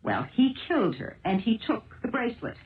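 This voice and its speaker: Seventies radio drama determined woman sleuth: earnest, probing, very animated, muffled broadcast sound. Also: fairly high pitch, brisk. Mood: earnest, insistent. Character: determined detective's wife turned amateur sleuth. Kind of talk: 1970s radio drama acting.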